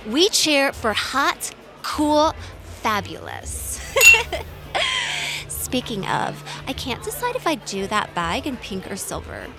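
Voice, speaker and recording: A woman's voice: sits high, wildly swooping pitch, takes it slowly, vocal fry, heavily acted. An actress playing a vain, bubbly young socialite woman in an audio drama, gushing and blunt in a slangy, theatrically disgusted drawl. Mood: gushing, self-pleased. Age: young adult. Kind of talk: audio drama acting, casual chatty dialogue. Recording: music behind the voice.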